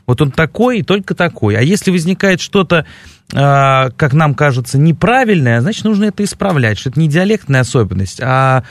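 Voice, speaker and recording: Creaky, dry-voiced radio host. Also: male voice, fairly high pitch, animated, fairly quick, no room sound. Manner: hesitant, many filler sounds. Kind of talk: educational radio talk show. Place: radio studio. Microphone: studio microphone.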